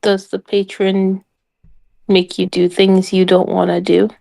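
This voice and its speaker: A woman's voice: mid-range voice, completely flat delivery, a little slow, gravelly, warm tone. A woman playing an awkward, supportive female adventurer with a hesitant, earnest way of talking in a tabletop game. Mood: gentle, supportive.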